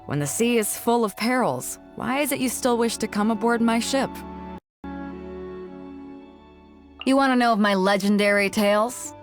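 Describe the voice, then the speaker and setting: A woman's voice: fairly high pitch, brisk, soft background music, lightly acted. A woman playing a bold, big-hearted ship captain for a video game, with a confident, frank and laid-back manner. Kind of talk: video game character voice lines.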